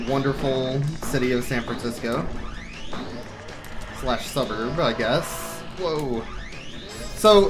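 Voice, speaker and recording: Male speedrun commentator, casual and wry, mid-pitched, playful mock-drama, prominent game music underneath. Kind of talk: casual live gaming commentary.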